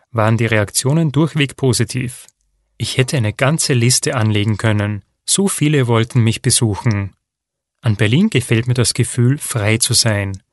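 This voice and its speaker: Man, medium pitch, calm even textbook read, dry studio sound. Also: no room sound. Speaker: reader of a listening exercise. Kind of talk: scripted reading of a textbook listening text.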